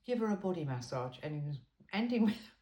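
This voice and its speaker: Low-pitched woman reading with lively swings. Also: fairly quick. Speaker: reader of a self-help book. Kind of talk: informal read-aloud narration of a self-help book.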